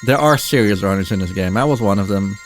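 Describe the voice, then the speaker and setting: Man, lowish voice, casual speedrun commentary, halting and rambling, clear game music behind. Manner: halting, rambling, self-interrupting. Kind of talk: casual live gameplay commentary.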